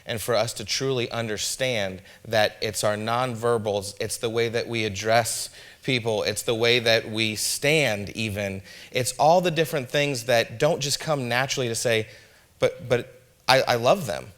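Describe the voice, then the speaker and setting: A man's voice: mid-range voice, a little slow, fairly bright tone. A man speaking as an educator on a panel, reflective and repeating words as he builds his point. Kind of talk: panel discussion.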